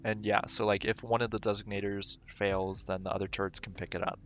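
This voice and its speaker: A man streaming a game, rambling casually and thinking aloud with lots of fillers, his voice going raspy. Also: on the low side, level tone, fairly quick, fairly bright tone, highs cut off, faint music behind. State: voice getting raspy. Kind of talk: casual gameplay livestream commentary.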